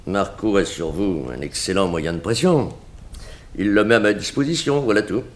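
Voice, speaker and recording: An actor playing a calm, coercive and sardonic blackmailer in a 1960s French radio crime drama. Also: male voice, on the low side, moderate pace, natural voice. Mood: smooth, confiding. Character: menacing male blackmailer, smooth crook in haute couture.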